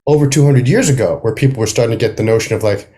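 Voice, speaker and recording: A man speaks as a guest in a casual interview over a video call. His voice sits at an average pitch. He is thoughtful and halting, leaving sentences unfinished as he works through what he means. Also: warm tone.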